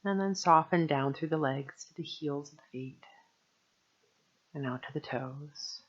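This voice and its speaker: Slightly muffled recording, female meditation teacher, soothing and gentle delivery, measured phrasing, long pauses. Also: low voice. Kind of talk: guided meditation narration.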